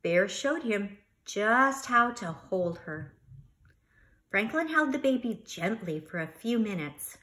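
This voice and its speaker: A woman reading a children's story aloud like a teacher, expressively, pausing to comment and instruct. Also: medium pitch, expressive, fairly bright tone.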